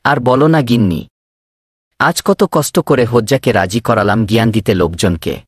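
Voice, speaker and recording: A man playing an earnest, scheming villager in a cartoon, with an expressive, persuasive way of talking. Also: mid-range voice, normal speed, husky, dry recording, studio quality, natural voice. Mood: dejected. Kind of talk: animated cartoon dialogue.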